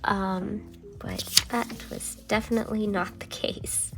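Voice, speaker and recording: A young woman chatting casually while she journals, rambling with many fillers and sometimes dropping into a soft half-whisper, her voice still a little phlegmy from a cold. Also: mid-range voice, steady tone, unhurried, music in the background, poor audio. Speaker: journaling vlogger.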